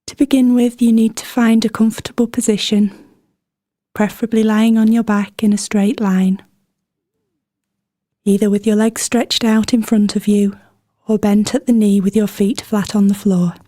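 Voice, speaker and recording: Mellow woman guiding a meditation. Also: mid-range voice, level tone, dry recording, studio quality. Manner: soothing, gentle, unhurried pauses between phrases. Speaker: meditation guide. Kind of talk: guided body scan meditation, scripted instruction.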